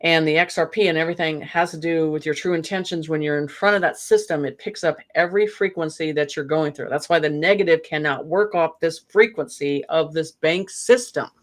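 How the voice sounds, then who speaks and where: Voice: medium pitch, a little fast, hardly any pauses. A guest speaking earnestly in a casual chat, rambling with many fillers and restarts.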